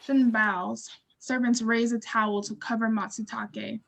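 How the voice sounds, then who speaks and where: A woman narrating stage directions from a script in a measured, neutral, descriptive way. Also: on the high side, level tone, creaky, fairly bright tone.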